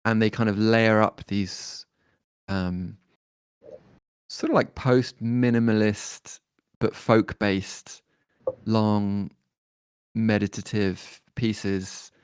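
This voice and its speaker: A man, talking as a guest musician in a relaxed interview, thoughtful and hesitant with many fillers and restarts. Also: fairly low pitch, drawn-out words, pauses often, clean tone, dry recording, studio quality. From born in Detroit, raised in London.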